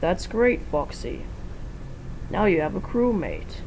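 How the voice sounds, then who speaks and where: A woman's voice: fairly low pitch, sing-song, slow pace, darkish tone, slight background noise, heavily acted, low-quality recording. A woman reading the part of a friendly, easygoing male animatronic bear in a fan story. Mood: pleased.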